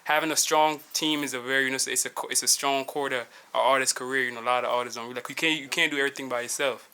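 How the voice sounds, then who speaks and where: Brief: bright-toned man interviewer, halting. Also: medium pitch, steady tone, smooth. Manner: halting, many restarts and fillers. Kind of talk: casual interview.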